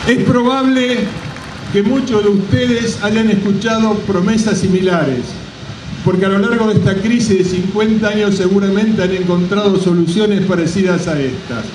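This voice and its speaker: A middle-aged man gives a measured, deliberate public speech as a company representative at an outdoor podium. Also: high-pitched. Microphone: microphone on a stand at a podium. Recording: roomy distant PA microphone, background noise.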